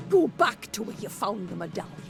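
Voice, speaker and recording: A mysterious magical advisor in a fantasy game, her highish voice full of creak. She speaks slowly and theatrically, with a teasing manner. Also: fairly bright tone, exaggerated voice. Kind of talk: video game character acting.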